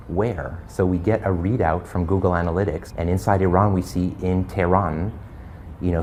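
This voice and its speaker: Low, mellow-voiced man explaining. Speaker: book editor. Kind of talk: documentary interview, explaining.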